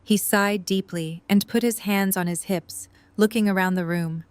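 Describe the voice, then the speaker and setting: A woman's voice: medium pitch, fairly even intonation, normal speed, clear, resonant tone, no room sound. A woman reading scripted third-person narration for an audio drama.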